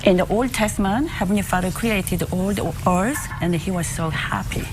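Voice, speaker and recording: A woman interpreting a TV interview, earnest and fast-talking. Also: medium pitch, noisy background. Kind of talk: consecutive interpreting.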